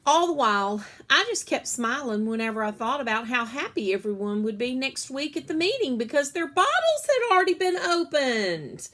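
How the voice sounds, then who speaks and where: A woman's voice: sits high, very animated, moderate pace, few pauses, bright, forceful tone. A woman reading a children's story aloud expressively, stretching key words as a storyteller does.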